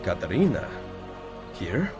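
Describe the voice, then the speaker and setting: Charming confident italian assassin in a game, lively pitch swings, music in the background. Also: male voice, slow, full character voice. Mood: composed. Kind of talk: video game cutscene acting.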